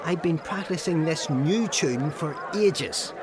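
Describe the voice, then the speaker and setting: An actor playing an excitable, cheeky young man at a feast in a radio drama. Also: a little high, takes it slowly, background noise. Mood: eager. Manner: excitable, giggly, hesitant.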